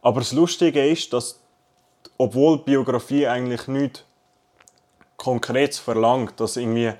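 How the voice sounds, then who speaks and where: A man's voice: mid-range voice, steady tone, measured pace, a little mellow. A young man with a Swiss German accent, co-hosting a casual chat podcast and explaining things step by step. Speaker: podcast co-host.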